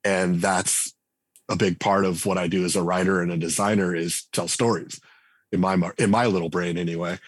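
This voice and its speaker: Interview guest, male, very low voice, loose and chatty, lots of "uh" and restarts. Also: moderate pace, husky, dry recording. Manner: relaxed, thinks aloud with many fillers and restarts. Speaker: game writer and designer, guest. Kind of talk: casual interview conversation.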